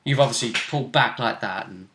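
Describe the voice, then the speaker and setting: A man's voice: mid-range voice, brisk, sharp tone, reverberant. A British man chatting casually and emphatically as a game master recapping a tabletop role-playing game.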